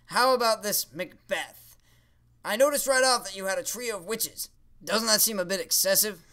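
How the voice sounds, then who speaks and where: A man's voice: high-pitched, normal speed, bright, forceful tone. A man voicing a jaded creative writing professor in a puppet comedy, blunt, scornful and full of exasperated sarcasm. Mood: dismissive. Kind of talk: puppet show comedy acting.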